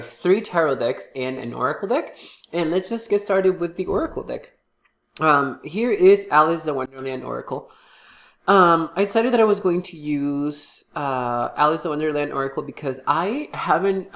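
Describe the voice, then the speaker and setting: A man with a cold, sounding congested, talking casually to camera about his tarot decks with many pauses and restarts. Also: on the high side, fairly bright tone. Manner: halting, many fillers and restarts. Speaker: tarot reader and channel host.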